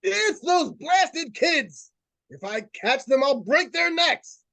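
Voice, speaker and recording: A man's voice: very high voice, sing-song, slow pace, gravelly, bright, forceful tone, dry recording, heavily acted. A man playing an irascible, blustering neighbor in an old-time radio suspense play. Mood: furious. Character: angry, blustering, hard-of-hearing grown man, grumpy neighbor.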